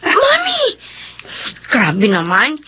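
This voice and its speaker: A woman's voice: fairly high pitch, huge pitch swings, extremely slow, pushed and bright, breathy edge, exaggerated voice. A woman playing a persistent, concerned young daughter in a radio drama, with a Filipino accent. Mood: curious. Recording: muffled audio with faint noise.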